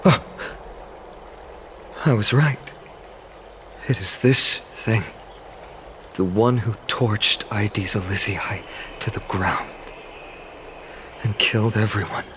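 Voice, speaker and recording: An actor playing an earnest, determined young male hero in a video game, speaking in a measured, dutiful way. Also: fairly low pitch, a bit bright, slight background noise. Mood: earnest, probing. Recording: studio voice over prominent music.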